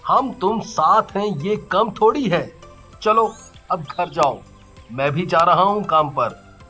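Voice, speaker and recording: Man acting a high-pitched, good-natured cartoon husband. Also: slow, bright, forceful tone, full character voice. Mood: patient, reassuring. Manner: patient, reassuring. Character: good-natured, contented, loyal husband, easily frightened. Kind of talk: Hindi cartoon story voice acting. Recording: background music.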